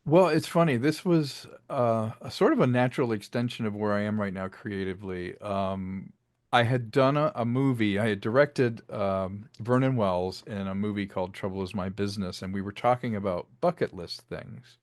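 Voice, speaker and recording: A man's voice: lowish voice, normal speed, dry recording, clean studio sound. A man speaking as an interview guest, telling stories about his creative work in a casual, chatty way with rambling asides. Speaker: interview guest, filmmaker and audio drama creator.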